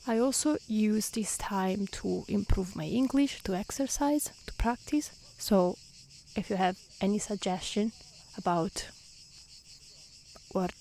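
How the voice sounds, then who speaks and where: Soft-spoken Italian woman, slow and mellow. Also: medium pitch, vocal fry, a little hiss or hum. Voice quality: soft, gentle voice. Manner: calm, careful delivery in non-native speech. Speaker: streamer and storyteller. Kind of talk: soft-spoken ASMR reading and chat. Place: outdoors in nature near mountains.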